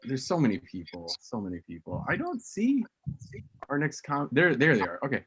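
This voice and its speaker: Woman host, loose rambling emcee, bouncy lively pitch, faint background hiss. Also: normal speed. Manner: rambling, joking, stumbles over names. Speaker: open mic host. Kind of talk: casual emceeing.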